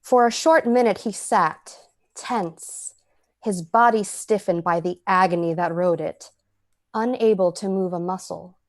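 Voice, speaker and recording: A woman playing a cold, predatory poisoner who narrates an old-time radio drama in a measured, dramatic way. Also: lowish voice. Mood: intent, detached. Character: cold, predatory, calculating poisoner telling the tale.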